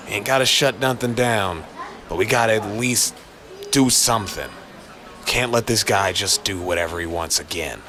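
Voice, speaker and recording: A man's voice: lowish voice, normal speed, crowd noise, close to an everyday voice. A man voicing a determined, insistent New York police detective, urgent and streetwise, in a noir audio drama. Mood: resigned, firm.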